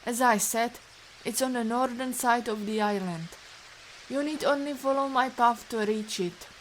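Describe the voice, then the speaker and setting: An actress plays a resolute woman rescuer with a Russian accent and a somewhat high voice. She speaks in formal, clipped commands, protective but brusque. Light hiss and rain sit behind her in this audio drama. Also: normal speed, lightly acted. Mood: firm, impatient.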